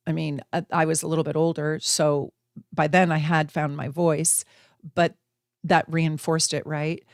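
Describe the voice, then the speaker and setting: A woman's voice: deep voice, no room sound, studio quality. A middle-aged woman from the United States hosting a casual astrology lesson, talking with enthusiasm and repeating phrases for emphasis. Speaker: astrology teacher and channel host.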